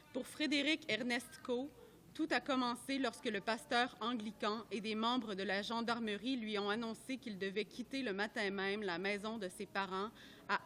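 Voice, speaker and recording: A woman reading a report aloud at a public event, in a measured, careful way that sometimes trips over names. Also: fairly high pitch, a bit bright, a little hiss or hum. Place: art gallery at a live event.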